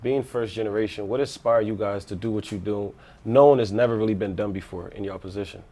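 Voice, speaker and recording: A man's voice: fairly low pitch, fairly even intonation, fast talker, dark tone. A man hosting a casual panel discussion, upbeat and encouraging toward his guests. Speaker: host.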